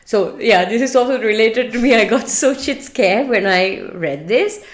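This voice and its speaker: A woman's voice: on the high side, lively intonation, normal speed. A woman podcast co-host, chatting casually and reading stories aloud in an informal, sweary, conversational way. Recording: roomy, echoey sound.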